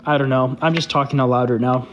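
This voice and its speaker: A man's voice: mid-range voice, steady tone, quick pace, fairly bright tone, slight background noise. A young man chatting casually on a live stream, loose and candid, filling his talk with "like". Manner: loose and candid, heavy use of "like". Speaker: social media creator hosting a live stream.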